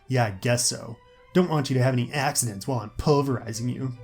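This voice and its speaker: A man's voice: medium pitch, quick pace, darkish tone, soft background music, natural voice. Man acting a menacing teenage school bully. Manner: taunting, menacing. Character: big belligerent ninth-grade boy bully, jealous and threatening.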